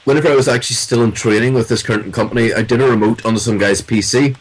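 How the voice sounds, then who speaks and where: A man's voice: medium pitch, fairly even intonation, rapid-fire, husky, rough recording. A man who works in a call center, chatting casually and telling stories from his job. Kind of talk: casual podcast chat.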